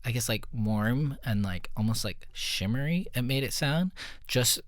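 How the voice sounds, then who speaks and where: A man's voice: mid-range voice, animated, unhurried, dry recording, studio quality. A man talking casually and informally, as a musician walking listeners through a recording. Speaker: musician breaking down own recorded song. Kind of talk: casual behind-the-music walkthrough.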